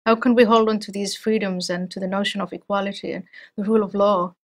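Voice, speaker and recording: A middle-aged woman from Somalia, speaking as an interview guest in a thoughtful, measured way with frequent restarts. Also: medium pitch, flat delivery. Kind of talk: interview conversation.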